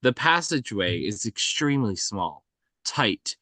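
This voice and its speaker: A man narrates a film script at a slow pace. His tone is bright and forceful, and the sound is dry, with scene directions read out step by step. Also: lowish voice, sing-song, no room sound. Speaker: narrator reading stage directions.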